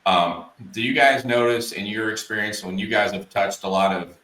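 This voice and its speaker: Man, podcast host, hesitant with fillers, fairly low voice, echoey room. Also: moderate pace, bright, forceful tone. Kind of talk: casual interview.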